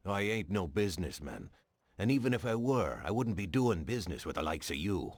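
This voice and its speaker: An actor playing a hard-boiled, contemptuous police detective with an American accent, curt and disdainful, in a game cutscene. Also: male voice, deep voice, sing-song, a little fast, dry recording, full character voice. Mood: contemptuous, wary. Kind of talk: dramatic dialogue in a video game cutscene.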